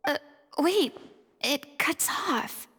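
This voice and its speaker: A woman's voice: sits high, expressive, extremely slow, fairly bright tone, no echo, clean studio sound, full character voice. An actress playing a proud, theatrical young woman who speaks with stern but caring authority in a video game. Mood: curious, intent.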